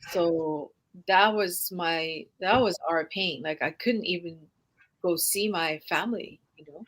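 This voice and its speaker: A woman's voice: fairly low pitch, measured pace, low-quality recording. A woman from Japan with a Japanese accent, a guest telling her story on a video call, chatty with frequent "you know.". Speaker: guest, healing practice owner. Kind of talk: casual interview answers.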